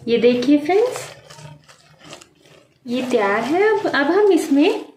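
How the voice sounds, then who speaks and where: A woman hosting a home cooking video, calmly talking viewers through each step and calling them friends. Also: sits high, takes it slowly, reverberant, a little hiss or hum. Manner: addresses viewers as friends, calm explaining. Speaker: cooking channel host. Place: home kitchen at the stove.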